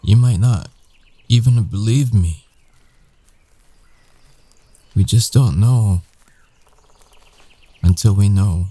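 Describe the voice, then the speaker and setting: Casting: male actor as a secretive werewolf ex, low and slow, halting and earnest, intimate audio-roleplay delivery. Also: dark tone, slightly rough, dry recording. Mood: grave, reasoning. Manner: halting, hesitant, earnest, with long pauses. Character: guarded werewolf ex-boyfriend, young adult man, shaken, earnest, secretive. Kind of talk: intimate ASMR boyfriend roleplay acting.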